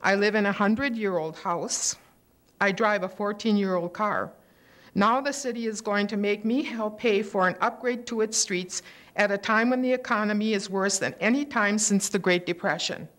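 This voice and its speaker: An older woman reading a prepared, measured complaint at a city council public comment, into a podium microphone in a council chamber. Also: medium pitch. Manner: steady, measured, indignant complaint. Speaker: resident speaking at public comment. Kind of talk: prepared statement read at a council meeting. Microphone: podium microphone on a gooseneck.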